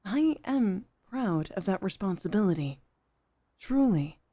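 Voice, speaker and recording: Woman doing a proper head maid girlfriend for asmr rp, real slow and soft warm tone, polite n measured, gently teasing. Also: mid-range voice, animated. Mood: calm, confiding. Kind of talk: intimate ASMR roleplay.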